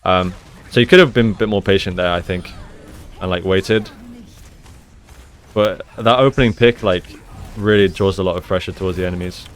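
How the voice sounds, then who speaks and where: A man's voice: deep voice, normal speed. A man giving casual, conversational commentary as he analyses gameplay like a coach, explaining each move.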